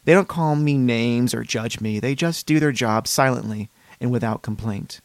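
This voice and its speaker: A man voicing a fussy, polite, unsettled psychiatric patient in a measured reading of a first-person horror story. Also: mid-range voice, no echo, lightly acted. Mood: musing, polite.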